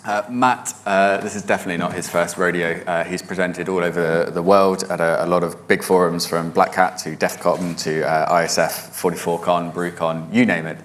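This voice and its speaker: A man's voice: low voice, flat delivery, normal speed, few pauses, a bit bright, a little hiss or hum. A man hosting a conference session, introducing the next speaker with hesitant delivery and joking asides.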